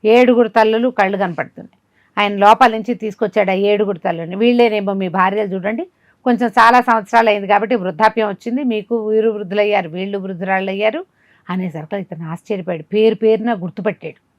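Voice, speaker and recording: A woman's voice: mid-range voice, steady tone, fast talker, no room sound, dull audio. A woman telling a folk tale informally, conversationally asking rhetorical questions as she narrates. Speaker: storyteller.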